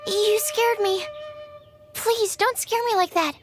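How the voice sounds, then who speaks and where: A woman's voice: extremely high pitch, steady tone, slow pace, faint music behind. A woman voicing a shy, earnest young female alchemist in a video game, polite and hesitant, with bookish phrasing. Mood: startled, flustered.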